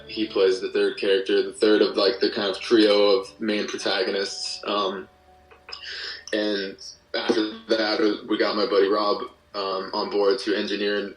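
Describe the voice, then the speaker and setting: A young American man chatting casually as an interview guest, explaining things in a rambling, self-interrupting way. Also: lowish voice. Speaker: podcast guest, musician and audio drama creator. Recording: roomy echo, distant from the mic.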